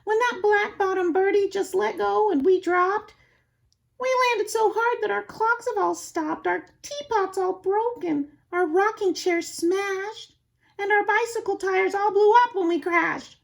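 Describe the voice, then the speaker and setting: A woman voicing a tiny, cheerful male mayor of a town on a dust speck, in a high, piping voice. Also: unhurried, vocal fry, full character voice. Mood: pleading.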